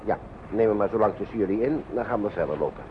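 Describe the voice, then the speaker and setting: An actor playing a kindly, worried uncle in a wartime radio play, talking to children in a soothing, coaxing, hesitant way. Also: male voice, on the low side, quick pace, close to an everyday voice. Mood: concerned, coaxing. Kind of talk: Dutch radio play acting. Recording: muffled, noisy old radio recording.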